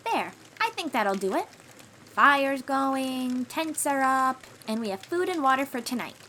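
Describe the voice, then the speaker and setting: A woman playing a cheerful, boastful young sea-dwelling warrior in an audio roleplay, eager and expressive as she talks to the listener. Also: high-pitched, a little slow, a little hiss or hum, exaggerated voice. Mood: excited, encouraging. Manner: eager, bragging, reassuring, expressive storyteller.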